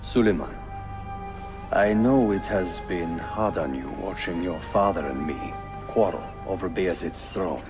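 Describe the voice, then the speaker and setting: An actor voicing a calm, dignified Ottoman prince and uncle in a video game, measured and courteous. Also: low-pitched. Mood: grave, confiding. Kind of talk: dramatic video game cutscene acting. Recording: music behind the voice.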